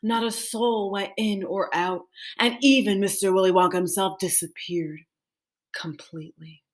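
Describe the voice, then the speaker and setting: A woman's voice: mid-range voice, fairly even intonation, moderate pace, lightly acted. Woman playing an old grandfather spinning suspenseful tales. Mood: solemn. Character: old grandfather, a gentle, mysterious storyteller.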